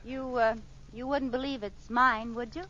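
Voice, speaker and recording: An actress playing a scatterbrained, wheedling housewife in an old radio sitcom, coy and breezily evasive with comic timing. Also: female voice, on the high side, steady tone, moderate pace, sharp tone, some chatter behind. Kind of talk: 1950s radio sitcom acting. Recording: muffled, hissy old radio recording.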